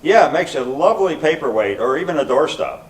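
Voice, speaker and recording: A man's voice: a little high, lively intonation, a little fast, distant mic, close to an everyday voice. Man playing older comic foil; dry, stubborn, matter-of-fact, amateur stage reading in a reverberant room. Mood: wry. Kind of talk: amateur readers theater comedy. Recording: echoey room, faint background noise.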